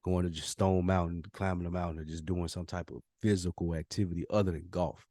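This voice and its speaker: A man co-hosting a podcast in casual chat, blunt and profane, getting animated when riled up. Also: low-pitched, fairly quick, dry recording, clean studio sound. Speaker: podcast co-host.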